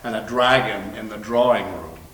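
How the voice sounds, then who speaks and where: A man's voice: fairly low pitch, normal speed, a bit bright, lightly acted. A man playing a genial, learned doctor with a wry, cautious manner in a readers theater performance. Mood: measured, wry. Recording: echoey room, distant mic, faint noise.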